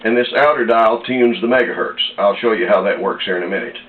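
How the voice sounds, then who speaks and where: An American man giving a casual, unhurried product demonstration in plain words, pausing as he explains. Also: on the low side. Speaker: radio seller demonstrating a set. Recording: echoey, dull lo-fi audio with faint noise.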